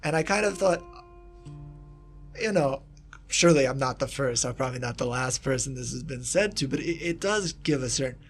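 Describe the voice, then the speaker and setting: Kentucky man as interview guest, mid pitch, thoughtful and rambling, faint music behind. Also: expressive, moderate pace. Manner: thoughtful, rambling with asides and restarts. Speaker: guest researcher, historian of East Asia. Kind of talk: casual reflective interview talk.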